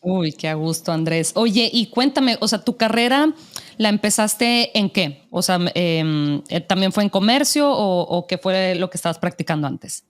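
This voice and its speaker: A woman's voice: medium pitch, expressive, normal speed. A woman hosting a podcast interview, asking questions in a friendly, encouraging way and giving frequent short replies. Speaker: podcast host.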